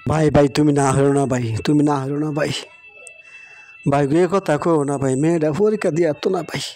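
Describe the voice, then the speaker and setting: A man's voice: a little high, fast talker, vocal fry, darkish tone. A man playing a poor, anxious village father in a cartoon moral story, pleading plaintively and repetitively in a rural Bangladeshi Bengali accent.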